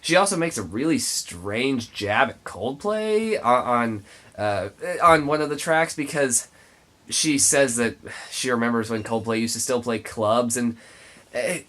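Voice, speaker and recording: A man's voice: mid-range voice, animated, measured pace, fairly bright tone. A man with a congested, nasal voice giving an emphatic, opinionated album review as the host. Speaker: music reviewer, show host. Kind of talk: spoken album review to camera.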